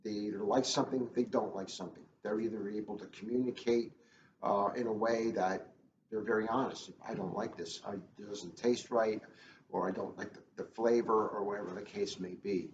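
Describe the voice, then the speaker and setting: A man with a fairly low voice talks casually and earnestly, stressing points by repeating them. The recording is echoey and dull, with a faint layer of noise. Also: fairly even intonation, moderate pace. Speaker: police school resource officer. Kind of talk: casual, earnest talk to children. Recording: roomy, muffled, faintly noisy.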